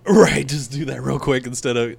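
A man's voice: medium pitch, normal speed, creaky, husky. A man hosting a casual podcast chat with a sore, scratchy throat, talking in a rambling way full of ums and restarts. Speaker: podcast host.

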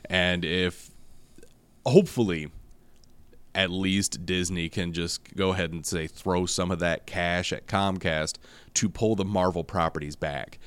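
A man hosting a casual news chat podcast, hoarse from losing his voice, rambling with fillers and frequent laughs. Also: low-pitched, steady tone, measured pace, a bit bright, dry recording. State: hoarse, lost voice. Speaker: podcast host.

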